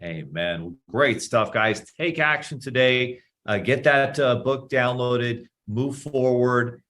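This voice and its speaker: A man's voice: medium pitch, takes it slowly, a bit bright. A man hosting a podcast, upbeat and hyping the listeners up. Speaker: podcast host. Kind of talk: casual interview talk.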